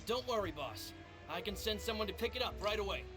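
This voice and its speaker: A man voicing a loyal, polite and earnest subordinate in a video game, calm and courteous. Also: high-pitched, brisk. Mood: reassuring. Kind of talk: English video game dub. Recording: loud game music behind the voice.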